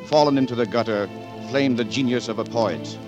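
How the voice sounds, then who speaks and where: A man's voice: medium pitch, brisk, muffled recording. A man giving solemn, grandiose scripted narration in a 1940s radio drama style. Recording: old radio recording, music behind.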